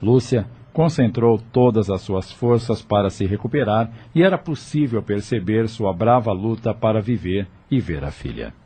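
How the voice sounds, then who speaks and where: A man's voice: lowish voice, animated, moderate pace, hardly any pauses, noisy background. A man narrating an audio novel in a dramatic, scripted storytelling style.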